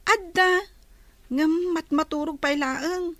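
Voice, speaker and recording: A dutiful teenage girl in a radio drama. The voice is extremely high and youthful, with a plaintive manner. The sound is dry. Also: slow, a bit bright, no room sound, heavily acted. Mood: shy, casual. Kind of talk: Filipino radio drama acting.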